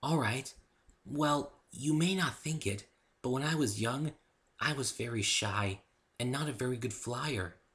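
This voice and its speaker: A man voicing a shy, gentle young female winged pony, timid and hesitant, in a story reading. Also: mid-range voice, unhurried, voice pushed up, lightly acted. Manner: timid, hesitant, soft-spoken.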